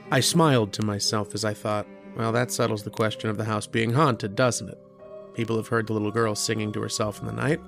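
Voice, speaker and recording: An actor playing a polite, curious student lodger who tells a ghost tale in old-time radio horror style. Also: male voice, lowish voice, gravelly. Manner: hesitant in dialogue, brooding and measured in narration.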